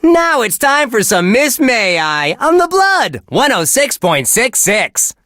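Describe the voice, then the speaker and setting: An actor playing a relentlessly cheerful, wholesome radio DJ in a video game, gushing with folksy exclamations. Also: male voice, very high-pitched, wildly swooping pitch, slow pace, pushed and bright, no echo, clean studio sound, heavily acted. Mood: sunny, friendly. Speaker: radio DJ host.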